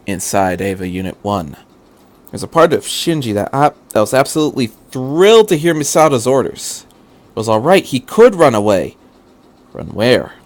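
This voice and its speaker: A man's voice: medium pitch, very animated, normal speed. A man reading fan fiction aloud as a narrator, with an earnest, dramatic delivery.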